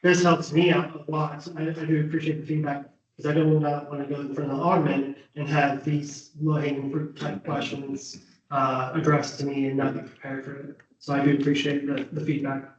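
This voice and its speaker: Man presenting in a flat, echoey chamber. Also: on the high side. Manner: measured, with frequent "uh" and "um". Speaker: planning board member presenting text amendments. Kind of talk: explaining at a public meeting. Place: city meeting room. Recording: echoey chamber, distant muffled mic.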